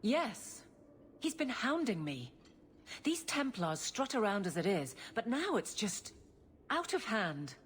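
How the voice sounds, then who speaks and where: An actress in a video game playing a stern, proud guard captain who speaks bluntly and firmly. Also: female voice, medium pitch, big pitch swings, slow, slight background noise. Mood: indignant. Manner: blunt, firm.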